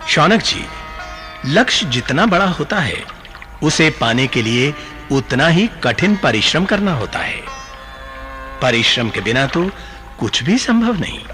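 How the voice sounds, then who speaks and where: Slow, expressive sage storyteller. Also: male voice, fairly high pitch, soft background music, exaggerated voice. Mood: instructive. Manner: formal, measured, instructive. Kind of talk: Hindi radio play storytelling.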